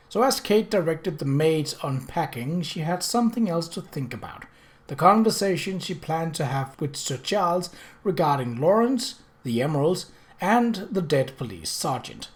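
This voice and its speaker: Narrator for period fiction: middle register, scripted, expressive storytelling. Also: mid-range voice. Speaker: audiobook narrator.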